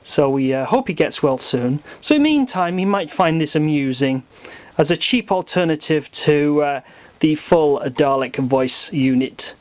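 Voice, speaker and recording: Higher-voiced British man, casual demo. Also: lively intonation. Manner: halting, offhand explanation. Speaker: hobbyist presenter. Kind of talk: casual home demonstration. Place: small cluttered room at home. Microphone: handheld camera microphone. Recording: slightly muffled lo-fi camera audio.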